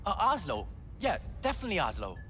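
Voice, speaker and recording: An actor playing a nervous young male club worker who hedges and stumbles through his answers over soft club music. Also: sits high, wildly swooping pitch, quick pace, a little hiss or hum, full character voice. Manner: hesitant, evasive, fidgety.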